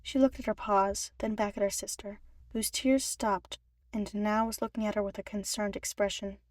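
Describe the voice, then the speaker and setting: A woman's voice: medium pitch, fairly even intonation, normal speed, dry recording, studio quality. A woman reading scripted story narration for a fan fiction audiobook. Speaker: podfic narrator.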